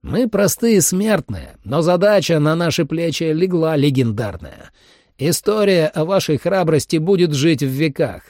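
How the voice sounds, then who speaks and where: A man's voice: on the high side, lively intonation, darkish tone, no echo, studio quality, voice pushed up. A man voicing a veteran monster hunter commander in an audiobook, solemn and emphatic, like an orator. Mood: rousing, fierce. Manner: deliberate, emphatic, rousing oratory.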